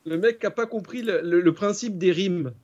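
A man's voice: sits high, normal speed, highs cut off. A man co-hosting a casual stream, joking and commenting on photos. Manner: joking, animated. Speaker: co-host.

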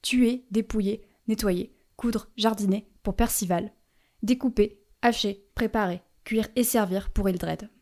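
A woman hosting a student radio show about video games, reading a prepared chronicle in a clear, measured way with a touch of drama. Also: medium pitch, darkish tone. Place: radio studio.

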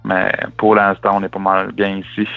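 A man's voice: low voice, normal speed, gravelly. A young man from northern New Brunswick with an Acadian French accent, chatting loosely as a musician guest and mixing English words into his talk. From northern New Brunswick, Canada. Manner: relaxed, loose, many fillers, English words mixed in. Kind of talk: casual interview answers. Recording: tinny phone-like, dry, faint music.

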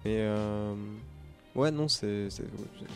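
A man's voice: on the low side, slow, faint music behind. A man chatting casually on a podcast, talking with many fillers and restarts. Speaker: podcast co-host, comics shop worker. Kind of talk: casual chat.

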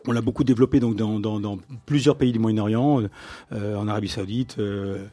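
A middle-aged man, a business owner, being interviewed and telling his career story in a relaxed conversational way, with frequent fillers and restarts. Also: on the low side, a little fast. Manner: hesitant, many fillers and restarts, livens up when amused.

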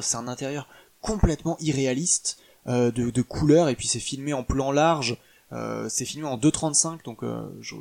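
A man's voice: mid-range voice, gravelly. A man giving a casual, hesitant film review, full of fillers and restarts.

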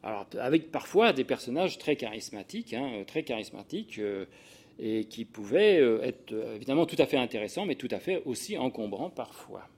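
A man in his sixties from the Paris region speaks as a sociologist to an audience of professionals. His voice sits at an average male pitch. He talks informally and haltingly, interrupting himself, repeating words and drifting into personal asides. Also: very animated, a little mellow, slight background noise. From Paris region, France. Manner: hesitant, digressive delivery with self-interruptions and repeated words. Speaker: sociologist giving a talk to social work professionals. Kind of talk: informal lecture with personal anecdotes.

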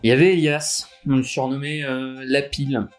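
A naive young crook in his twenties played by a man, with a mid-pitched voice over faint music. He talks nervously and informally, halting as he recounts things in an audio drama. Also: level tone, natural voice. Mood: hesitant, confiding. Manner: hesitant, informal, stammering. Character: nervous, hesitant young male robbery suspect, naive rookie.

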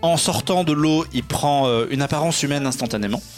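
A man's voice: fairly high pitch, fairly quick, soft background music. A man co-hosting a podcast, giving a casual, slangy film review and often searching for his words. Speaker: podcast co-host reviewing films.

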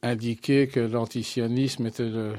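A man answering interview questions as a guest, speaking in a formal, deliberate and articulate way. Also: mid-range voice, level tone, a little mellow, dry recording. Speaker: interview guest, association president.

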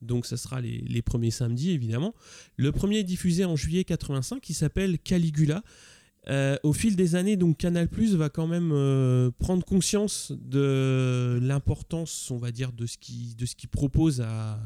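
A man's voice: medium pitch, normal speed, mellow, smooth, dry recording, studio quality. A man presenting information informally as a podcast contributor, explaining step by step with some hesitation.

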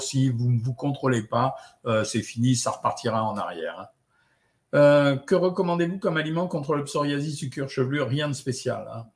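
A middle-aged man, a doctor answering viewer questions in a casual, chatty way, with many fillers. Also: medium pitch. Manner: relaxed, chatty, lots of "euh" and "hein". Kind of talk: casual live Q&A, reading out comments.

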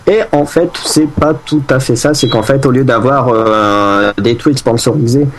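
A man's voice: medium pitch, measured pace, slight background noise. A man co-hosting a casual tech podcast, explaining news in a rambling way with many fillers and restarts. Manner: jokey, fake accents for laughs.

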